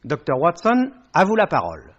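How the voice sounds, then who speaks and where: Polished male radio presenter, fairly high voice. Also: wildly swooping pitch, normal speed. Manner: polished, courteous. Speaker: producer presenting a radio series. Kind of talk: formal scripted radio introduction. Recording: dull old radio broadcast recording.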